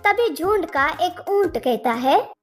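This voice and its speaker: A woman's voice: extremely high pitch, animated, slow. A woman narrating a scripted children's story as a storyteller, with faint music underneath.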